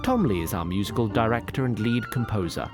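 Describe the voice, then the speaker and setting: Lively male narrator over music. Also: on the low side. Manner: measured, theatrical storytelling. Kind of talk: dramatic scripted fantasy narration.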